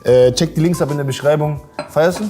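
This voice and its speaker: A man's voice: on the high side, a little fast, music in the background. A man from Germany hosting a casual interview in a slangy, buddy-like way. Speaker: interviewer, host.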